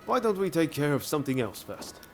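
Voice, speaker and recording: An actor playing an easygoing, friendly young samurai reformer in a video game, casual and easily flustered. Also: male voice, fairly high pitch, very animated, a little fast, darkish tone, soft background music. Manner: casual, friendly, hesitant when cornered. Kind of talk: video game cutscene acting.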